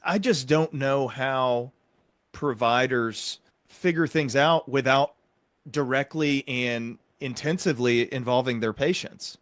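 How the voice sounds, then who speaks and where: A man hosting a reflective interview, explaining things in a measured, reassuring way. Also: mid-range voice, fairly bright tone, no echo. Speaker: interview host and psychiatric clinician.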